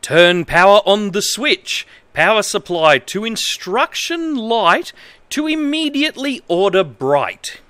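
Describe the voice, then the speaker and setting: An Australian man in his thirties reading aloud on a livestream in a halting, exaggerated way, with incredulous asides. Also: high voice, big pitch swings, sharp tone, no echo. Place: indoor home streaming setup.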